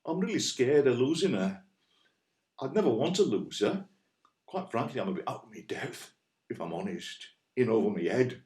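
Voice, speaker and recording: An actor playing an earnest, anxious Northern boyfriend in an audio play, with a West Yorkshire accent and a hesitant, rambling, colloquial way of talking. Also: male voice, medium pitch, very animated, moderate pace, a little mellow, reverberant, natural voice. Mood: anxious, pleading. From West Yorkshire, England. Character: earnest, anxious, plain-spoken Northern boyfriend, out of his depth.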